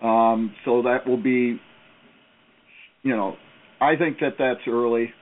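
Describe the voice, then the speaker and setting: A man co-hosting a casual talk show, halting and self-deprecating with many "uh"s. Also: mid-range voice, steady tone. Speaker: co-host. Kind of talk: casual chat. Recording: tinny phone-line radio sound.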